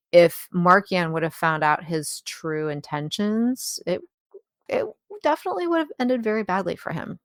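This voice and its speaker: A woman with a fairly low voice co-hosts a casual discussion. She sounds agreeable and conversational, adding asides as she goes, in a very dry recording without room sound. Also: no echo. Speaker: podcast co-host.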